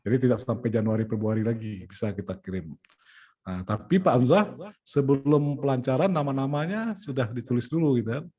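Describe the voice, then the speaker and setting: Mellow-voiced Indonesian man organizing an event. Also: mid-range voice, animated, brisk. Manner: explaining logistics step by step, frequent "nah" and "ya". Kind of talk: planning meeting talk.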